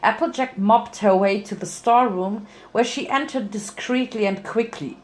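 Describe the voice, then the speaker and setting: A woman's voice: medium pitch, normal speed, rough recording. A woman narrating fan fiction as a dramatic reading, occasionally stumbling and correcting herself.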